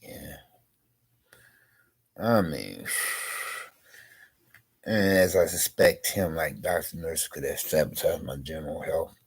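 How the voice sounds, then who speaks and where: A man vlogging slowly and rambling, congested with a scratchy throat, repeating "you know". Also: very deep voice, animated, slightly rough. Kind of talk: rambling personal vlog.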